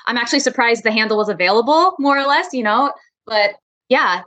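A woman's voice: a little high, lively intonation, brisk, pushed and bright, no echo. A woman speaking as a podcast guest in a casual interview, upbeat and friendly, laughing easily.

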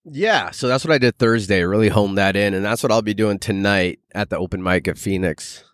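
A man's voice: fairly low pitch, brisk, dry recording, studio quality. A man hosting a solo podcast, rambling casually and loosely in informal, sweary language like an amateur comedian.

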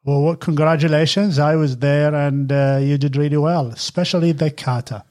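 A middle-aged man with a husky voice, chatting casually as a podcast host, halting with fillers. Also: fairly high pitch, steady tone, darkish tone. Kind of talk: casual chat.

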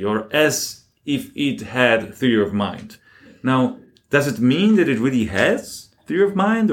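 Man explaining ideas as an interview guest. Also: mid-range voice. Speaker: interview guest, academic researcher.

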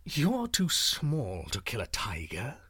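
A man's voice: medium pitch, wildly swooping pitch, takes it slowly, bright, forceful tone, breathy edge, no room sound, exaggerated voice. A man voicing a wary, snappish male tiger in a storybook tale.

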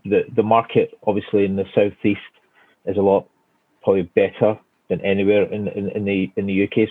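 A man's voice: fairly low pitch, dry recording. A man in his forties from Scotland with a Glaswegian accent, answering interview questions casually with many fillers and restarts from his home office. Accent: Glaswegian Scottish. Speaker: guest architect. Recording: tinny phone-like line, dry.

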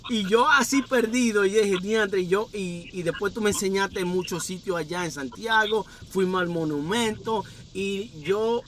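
A man's voice: high voice, moderate pace, hardly any pauses, fairly bright tone, a little hiss or hum. A man with an Ecuadorian Spanish accent that has a few Dominican touches, hosting a casual interview from inside his car and telling meandering stories. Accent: Ecuadorian Spanish with some Dominican touches. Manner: chatty, meandering storyteller. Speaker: podcast host. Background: faint street sounds.

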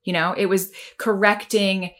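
A woman's voice: medium pitch, little pitch movement, a little slow, a bit bright. A woman hosting a casual chat, talking with enthusiasm about her childhood and about destiny. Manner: enthusiastic, reflective talker. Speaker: host.

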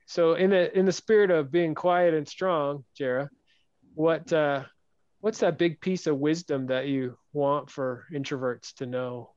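A man hosts a podcast interview in a soft, hesitant manner and often restarts his sentences. His voice sits a bit high. The audio is dry and dull, as if muffled. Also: measured pace, smooth, no room sound. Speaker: podcast host. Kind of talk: casual interview chat.

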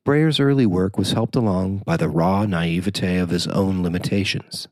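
A man's voice: sits low, normal speed, darkish tone, dry recording. A man reading a written magazine-style profile aloud as a journalist narrator.

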